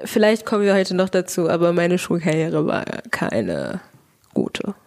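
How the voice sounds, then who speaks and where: A young woman co-hosting a podcast, talking casually with lots of fillers and quick asides. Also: fairly low pitch, sing-song, creaky, a little mellow. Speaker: podcast co-host.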